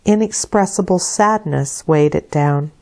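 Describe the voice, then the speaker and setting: A woman reading literary narration aloud as an audiobook reader, with deliberate, dramatic phrasing. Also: low-pitched, warm tone. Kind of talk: expressive scripted literary narration.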